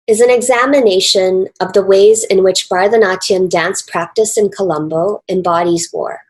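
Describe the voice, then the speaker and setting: A woman with a North American accent reads a scripted academic talk in a formal, measured and deliberate way. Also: medium pitch, darkish tone. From Canada and the United States. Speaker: academic speaker, author and dance researcher.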